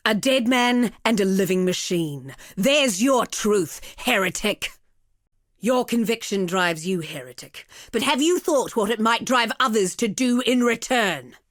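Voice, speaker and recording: A woman's voice: medium pitch, animated, unhurried, dry recording, close to an everyday voice. A cruel game villainess, played by a woman, haughty and silky. Her speech is theatrical and swoops up and down with mocking condescension. The sound is dry and close. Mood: triumphant scorn. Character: haughty, cruel female villain, a thaumaturgist obsessed with experiments. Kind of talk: scripted video game villain lines.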